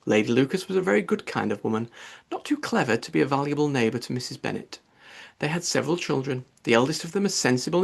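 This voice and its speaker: A man reading a classic novel aloud as an expressive audiobook narrator, with a husky, slightly gravelly voice. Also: medium pitch.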